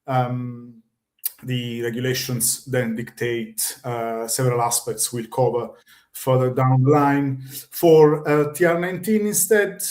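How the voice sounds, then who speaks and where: An Italian man with an Italian accent talking through technical rules on a webinar, steady and careful, filling gaps with soft ums. His voice sits at an ordinary pitch and rings a little in a hard, echoey room. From Italy. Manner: measured, many ums and pauses. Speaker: guest expert on building compliance. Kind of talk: webinar explanation.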